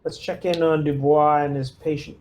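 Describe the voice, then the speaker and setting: A man with a fairly high voice plays a tabletop roleplaying game. He talks in an informal, halting way, working out plans aloud as his character. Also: level tone, a little mellow, slight background noise. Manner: informal, hesitant, thinking aloud. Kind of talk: casual in-character table talk.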